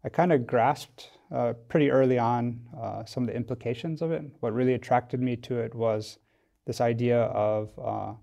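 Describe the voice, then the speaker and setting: American guy on a podcast, relaxed mellow voice w lots of vocal fry, explains stuff thoughtfully, kinda hesitant. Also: mid-range voice, moderate pace, highs cut off. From United States, Wisconsin. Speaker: podcast guest, tech company founder. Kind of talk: casual interview talk. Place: studio.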